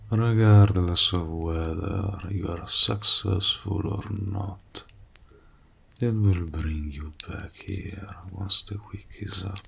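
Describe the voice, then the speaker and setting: A man playing a sly evil warlock in a roleplay audio drama, teasing and deliberate, with a breathy, gravelly low voice. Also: a little hiss or hum, full character voice. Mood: smug, satisfied. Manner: slow, deliberate, drawling, insinuating. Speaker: voice actor. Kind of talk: roleplay audio drama, intimate villain monologue.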